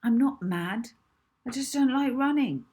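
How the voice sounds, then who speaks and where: Reluctant schoolgirl for a children's audiobook, read by a woman; sulky, stubborn, breathy edge. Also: high-pitched, animated, unhurried, dark tone, pitched above the performer's own voice. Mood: sulky protest. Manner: sulky, protesting.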